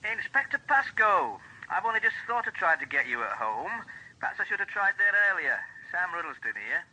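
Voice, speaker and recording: A man's voice: high voice, very animated, fairly quick, bright, forceful tone, heavily acted. An actor playing a nosy, insinuating man in a radio drama, articulate and probing, heard through a telephone filter. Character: nosy, insinuating male caller pressing a police inspector. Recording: thin telephone-line sound.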